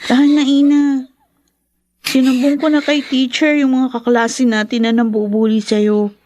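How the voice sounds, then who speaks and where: High-voiced caring schoolgirl friend played by a woman. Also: flat delivery, moderate pace, a little mellow, no echo, natural voice. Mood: comforting, concerned. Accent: Filipino. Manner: chatty, caring, childlike. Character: caring young schoolgirl, protective best friend. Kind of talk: Filipino radio drama acting.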